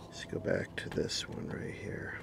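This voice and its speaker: A man's voice: unhurried, whispered. A man giving a casual, unscripted hands-on demonstration as a video host, dry and wry in manner. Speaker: video host and radio repair hobbyist.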